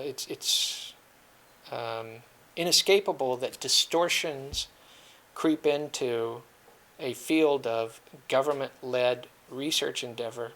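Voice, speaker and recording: A man's voice: mid-range voice, a bit bright. A middle-aged man giving a seminar talk and taking audience questions, joking and self-deprecating, with many fillers and restarts. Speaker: guest speaker at a security seminar. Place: lecture room.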